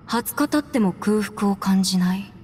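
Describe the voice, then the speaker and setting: An actress voicing a steady, thoughtful young female companion in a game, speaking in an even, matter-of-fact way. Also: medium pitch, normal speed, slight background noise, lightly acted. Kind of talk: game voice acting. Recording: roomy game audio with music.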